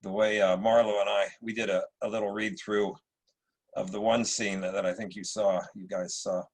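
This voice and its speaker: A man's voice: on the low side, flat delivery, moderate pace. A male actor talking casually at a table read in a thoughtful, hesitant manner.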